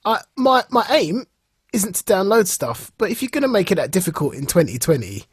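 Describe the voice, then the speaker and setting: A man's voice: high-pitched, big pitch swings, brisk, slightly rough, no echo, studio quality. A man co-hosting a podcast, chatting casually and animatedly, often repeating phrases for emphasis. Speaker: podcast co-host. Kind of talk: casual chat about comics and film news.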